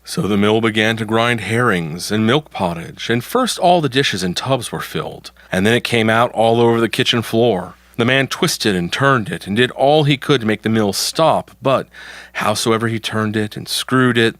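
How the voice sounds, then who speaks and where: A man's voice: fairly low pitch, a bit bright, no echo. A man narrating a folktale from a script as the storyteller.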